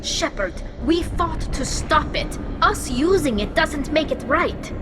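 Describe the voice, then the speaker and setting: A spirited young alien squadmate in a game, high voice, swoops a lot, loud music. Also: female voice, lively intonation, slow. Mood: earnest. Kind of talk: video game combat acting.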